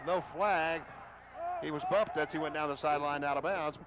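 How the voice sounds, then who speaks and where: A man calling a football game play by play for radio, rising in pitch and volume on big plays. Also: steady tone, fairly quick. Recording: noisy stadium broadcast.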